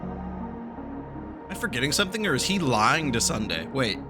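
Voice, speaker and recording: A man's voice: medium pitch, sing-song, unhurried, over music. A man giving casual, reactive, joking commentary as he plays a video game. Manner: reactive, jokey. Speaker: gaming YouTuber. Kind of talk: casual let's-play commentary.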